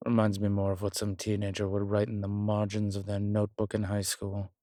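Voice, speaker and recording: A man playing a wry, world-weary demon investigator in a horror roleplay audio, speaking in hesitant, measured, musing phrases with dry humour. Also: fairly low pitch, monotone, mellow, dry recording, natural voice. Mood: dryly amused. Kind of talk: horror roleplay audio, intimate one-sided dialogue.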